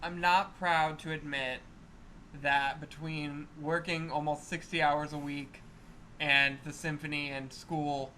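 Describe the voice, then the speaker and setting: A man's voice: a little high, flat delivery, slow, pushed and bright. A man co-hosting a gaming podcast, chatting casually. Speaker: podcast co-host. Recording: faintly noisy amateur podcast recording.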